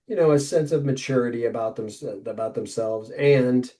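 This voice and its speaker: A man in his fifties with a mellow, warm-toned voice at a middle pitch, hosting a podcast. He asks his questions in a friendly, easygoing conversational manner. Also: moderate pace. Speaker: podcast host. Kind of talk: casual interview chat.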